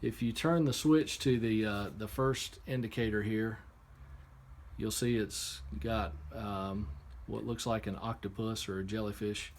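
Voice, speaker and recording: Halting male reviewer over clear background noise. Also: fairly low pitch, fairly even intonation. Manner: halting, unscripted, explaining step by step. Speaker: product reviewer. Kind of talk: casual hands-on unboxing and demonstration. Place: indoor workshop.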